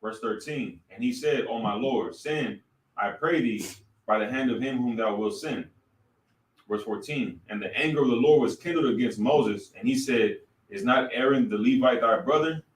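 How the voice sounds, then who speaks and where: A man reading scripture aloud in a slow, measured way, recorded from far off in a roomy space. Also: medium pitch. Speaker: scripture reader in class.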